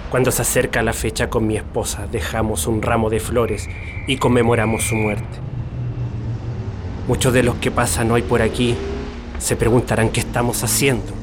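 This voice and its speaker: A man's voice: mid-range voice, flat delivery, moderate pace, lightly acted. An actor with a Chilean accent playing a middle-aged man who solemnly remembers a dead young man in a radio drama. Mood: solemn, mournful. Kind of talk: radio drama acting, reminiscing. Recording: noisy outdoor street ambience with traffic. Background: city street and vehicle noise.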